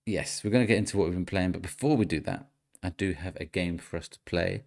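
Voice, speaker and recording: A man with a very low, gravelly voice hosts a relaxed gaming podcast. He runs a guessing game, reads out clues in a steady way and laughs along with his co-hosts. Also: fast. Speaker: podcast host. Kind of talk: casual podcast chat, quiz host.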